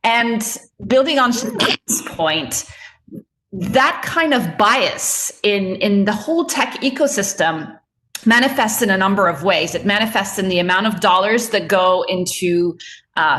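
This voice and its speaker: A woman's voice: medium pitch, fairly bright tone. A woman from Egypt, a tech entrepreneur answering panel questions over a video call in an earnest, explanatory way.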